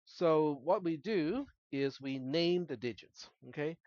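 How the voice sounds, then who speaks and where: A man's voice: fairly high pitch, lively intonation, takes it slowly, a little mellow, dry recording, lo-fi sound. A man who is a native Cantonese speaker lecturing to a class as an instructor, explaining step by step and often checking in with short tag questions. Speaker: college instructor. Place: classroom, screen-recorded lecture.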